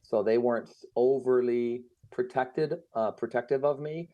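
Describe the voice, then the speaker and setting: A man's voice: medium pitch, moderate pace. A middle-aged man raised in the United States, talking casually and thoughtfully with frequent fillers. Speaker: guest. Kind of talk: casual conversation. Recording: muffled lo-fi sound.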